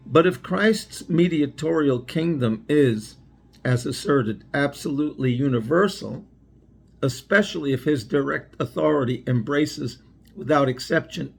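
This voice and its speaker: A man with a mid-pitched voice, full of gravel and rasp, reads a religious text aloud as a lecturer. His delivery is formal and deliberate. He leans on key phrases with a teacher's emphasis. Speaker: lecturer reading and commenting on a religious address. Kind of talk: read-aloud religious lecture with spoken asides.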